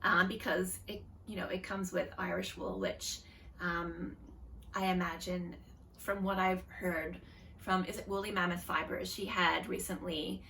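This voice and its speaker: A woman's voice: on the low side, level tone, unhurried, bright, forceful tone, recorded from a distance, a little hiss or hum. A woman hosting a knitting podcast, chatting casually in a rambling way with lots of fillers and self-deprecating asides. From Canada. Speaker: podcast host. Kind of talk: casual chat.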